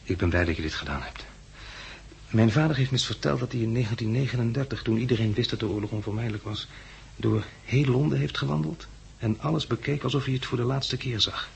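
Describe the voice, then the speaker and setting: An actor playing a gallant, attentive man in a 1960s Dutch radio drama, speaking gently and with some hesitation in a Netherlands accent. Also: medium pitch, fast, gravelly. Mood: tender, wistful. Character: courteous, attentive man dining at the world's end. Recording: noisy vintage 1960s radio recording.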